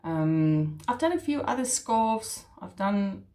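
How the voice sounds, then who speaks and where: A woman's voice: mid-range voice, very animated, takes it slowly, dark tone. A middle-aged woman, an Afrikaans speaker, hosting her crochet channel in a chatty, self-deprecating way with hesitant restarts. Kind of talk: casual chat.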